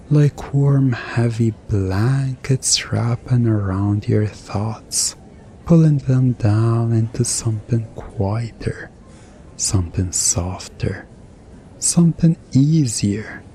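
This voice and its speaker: A man performing a hypnosis ASMR roleplay as a hypnotist, speaking in a soothing, deliberate, hypnotic way with pauses. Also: on the low side, dark tone, slight background noise.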